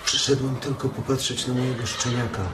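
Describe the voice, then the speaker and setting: A man playing a gentle, slow-witted, childlike farm hand in a Polish radio drama, with a naive, simple manner. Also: mid-range voice, flat delivery, noisy background, close to an everyday voice. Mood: innocent, eager.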